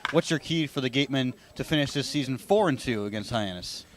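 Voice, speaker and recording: A man's voice: medium pitch, animated, a little fast. A man hosting a sports radio broadcast, doing pregame talk and play-by-play commentary.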